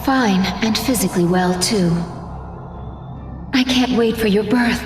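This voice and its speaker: A woman voicing a gentle, hopeful expectant mother in a video game cutscene, tender and soft-spoken. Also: medium pitch, unhurried, close to an everyday voice. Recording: echoey, music behind.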